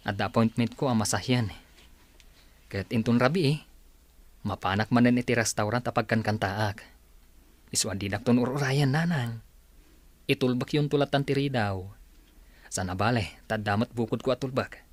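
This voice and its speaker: A man's voice: medium pitch, normal speed, long pauses, dry recording, close to an everyday voice. A man with a northern Philippine accent acting a reassuring, matter-of-fact grown son in a village radio drama.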